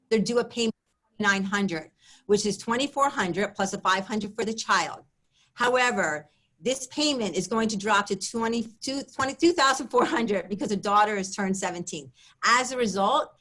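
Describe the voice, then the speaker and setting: A woman presents at a webinar, reading her prepared remarks. Her delivery is measured and even, and it drops at the ends of sentences. Her voice sits at a middle pitch.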